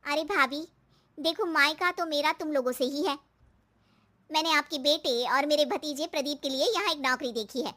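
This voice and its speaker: Woman acting haughty, high-pitched, taunting sister-in-law. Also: moderate pace, pushed and bright. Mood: condescending. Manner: mocking, demanding.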